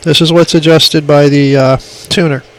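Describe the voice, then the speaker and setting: A man's voice: fairly high pitch, steady tone, moderate pace, low-quality recording. A man giving an informal hands-on tutorial in a plainspoken, emphatic way, repeating his points, on an amateur phone recording. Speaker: radio hobbyist demonstrating equipment.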